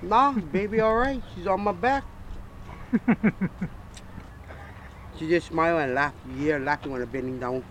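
A woman's voice: low voice, moderate pace, pauses often, fairly bright tone, slight background noise. A woman community leader with a Hawaii Pidgin accent, telling a story with easy humor outdoors.

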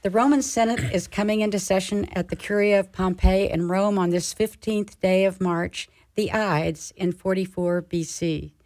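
A woman's voice: mid-range voice, fairly even intonation, normal speed, hardly any pauses, a little mellow, close to an everyday voice. A woman narrating a scripted radio drama in a formal storytelling manner.

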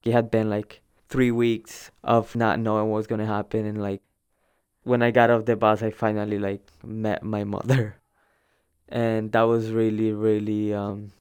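A young adult man recounts his own experience in a conversational, slightly hesitant manner. His voice sits fairly low and sounds clear and smooth. He often doubles a word for emphasis as he goes. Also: darkish tone. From El Salvador. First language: Spanish. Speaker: storyteller recounting own migration. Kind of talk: informal personal narrative.

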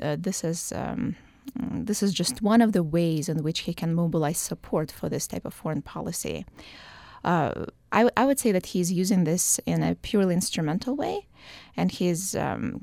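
A woman's voice: on the low side, gravelly, warm tone, no room sound. A woman from Ukraine, a political science professor, answering radio interview questions in a thoughtful way with many fillers and restarts.

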